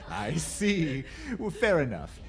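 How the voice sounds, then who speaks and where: A woman's voice: sits low, big pitch swings, extremely slow, noisy background, heavily acted. A woman playing an effusive, chuckling costumed store Jack Frost in an old-time radio drama. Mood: jolly, indulgent. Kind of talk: 1940s detective radio drama acting.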